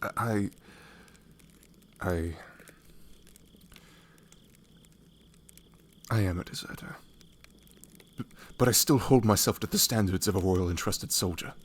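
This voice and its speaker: A proud young knight played by a man in a fantasy audio roleplay. He speaks low and rather slowly, with earnest, stiff formality that easily turns halting and flustered, over a faint ambient background. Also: a little hiss or hum, lightly acted.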